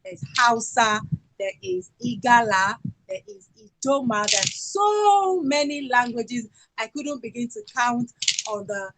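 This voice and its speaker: Slow-speaking Nigerian woman. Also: on the high side, very animated, background noise. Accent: Nigerian (Yoruba). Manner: sings folk songs, speaks slowly and warmly with fillers. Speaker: cultural presenter. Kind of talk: talk sharing songs and culture.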